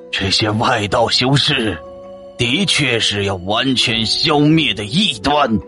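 A man's voice: mid-range voice, takes it slowly, pushed and bright, faint music behind. An actor playing a menacing old male zealot of a death cult in a fantasy audiobook, sinister and scornful, drawing out his words. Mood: scornful menace. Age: older. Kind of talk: dramatised fantasy audiobook acting.

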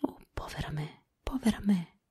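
A woman's voice: medium pitch, expressive, moderate pace, mellow, breathy edge. A woman voicing an innocent, timid young girl in a whispered storybook reading.

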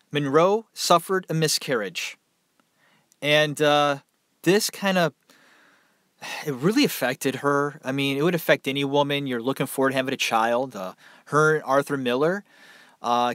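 A man's voice: on the high side, fairly bright tone, no echo, studio quality. Casual man rambling through a story. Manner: rambling, conversational, many fillers. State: dry mouth, tiring voice. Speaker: podcast host. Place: small room at home.

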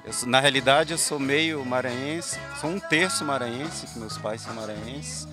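A man's voice: mid-range voice, normal speed. A man from Brasília, a bandleader, answering interview questions in a reflective way, often thinking aloud. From Brasília, Brazil. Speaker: musician, bandleader. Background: band rehearsal music.

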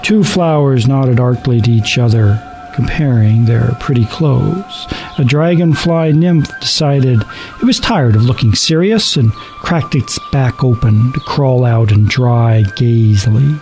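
A man's voice: medium pitch, unhurried, a little mellow, poor audio. A man narrating a radio play as scripted, dramatic storytelling. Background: background music.

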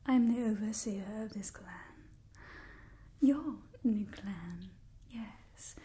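A young woman performing a whispered ASMR roleplay as an imperious, doting old vampire clan overseer, breathy and theatrical. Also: mid-range voice, warm tone, natural voice. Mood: imperious, pleased. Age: young adult.

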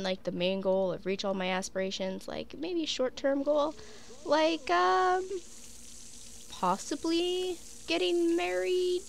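A woman's voice: a little high, vocal fry, a little hiss or hum. A young woman casually narrating her life-sim game, chatting to her characters, sniffly with a cold. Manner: chatty, talks to her sims, frequent "like" and "um". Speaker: gaming YouTuber. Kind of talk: casual let's play commentary.

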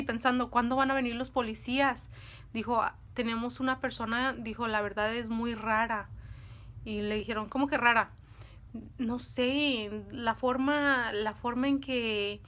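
Bright-voiced woman telling a story. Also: fairly high pitch. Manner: animated, talks with her hands, addresses viewers as friends. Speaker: storytime vlogger. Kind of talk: casual storytelling to camera. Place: indoors at home, in front of a dresser. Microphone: camera at close range.

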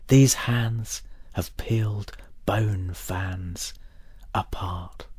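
A man reading poetry as a solemn dramatic monologue in a measured, deliberate way, with a breathy, husky voice that drops towards a whisper. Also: lowish voice, a little mellow, no room sound. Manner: measured, solemn, deliberate pauses between short phrases. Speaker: poet reading own work.

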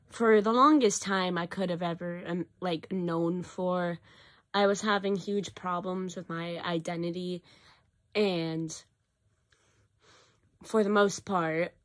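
A teenage girl, hoarse from a cough, talking casually and rambling into a webcam in her small bedroom. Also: medium pitch. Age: teens. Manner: rambling, self-correcting, animated at times. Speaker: vlogger. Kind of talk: casual confessional vlog. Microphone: built-in webcam or phone mic, close.

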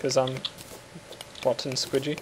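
A man's voice: medium pitch, little pitch movement, a little slow, slight background noise. Man, English accent, giggly, rambling, gaming chat, faint keyboard clicks. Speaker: co-host playing a game.

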